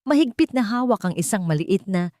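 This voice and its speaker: A woman narrating a romantic drama in a steady, dramatic storytelling manner. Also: medium pitch, fairly quick, dry recording, clean studio sound. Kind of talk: scripted audiobook narration.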